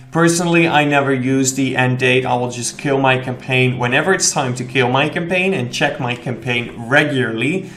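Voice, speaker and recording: Young male tutorial host, mid-range voice, steady explanatory delivery, recorded at a desk indoors. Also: medium pitch. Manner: explanatory, steady, with frequent "okay" and "all right" check-ins. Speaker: affiliate marketing instructor. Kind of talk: screen-recorded tutorial walkthrough.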